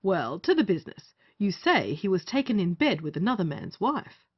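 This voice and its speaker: A woman's voice: medium pitch, sing-song, normal speed, husky. A woman playing a bossy, scheming male justice of the peace in an old stage comedy, theatrical and commanding. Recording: muffled lo-fi audio.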